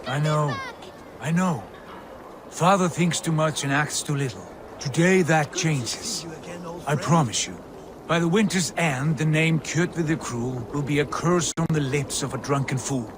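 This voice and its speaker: An actor playing a boisterous, hearty Viking prince returning home in a video game, with a teasing manner. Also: male voice, a little high, measured pace, noisy background, lightly acted. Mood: resolute. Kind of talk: video game cutscene acting.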